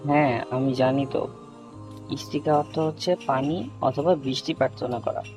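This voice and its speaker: A man's voice: medium pitch, normal speed, lightly acted. A young man playing a friendly, earnest student who explains religion to his friend in scripted cartoon dialogue, with music behind. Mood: earnest, instructive.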